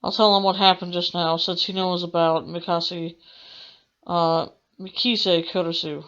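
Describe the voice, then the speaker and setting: A woman's voice: fairly low pitch, level tone, measured pace, a bit bright, highs cut off. A woman hosting a gaming let's play, reading aloud in a dramatic manner with the odd stumble. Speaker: gaming channel host.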